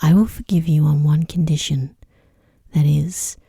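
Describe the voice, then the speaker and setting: A woman voicing a stern, angry male beast in a bedtime storybook reading, soft, breathy, grim and commanding. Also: low voice, fairly even intonation, a little slow, dry recording, studio quality, exaggerated voice.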